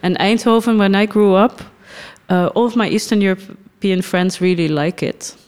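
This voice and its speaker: A middle-aged woman from the Netherlands, a film festival director, speaking thoughtfully in a podcast interview with frequent pauses and soft laughs. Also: medium pitch, smooth.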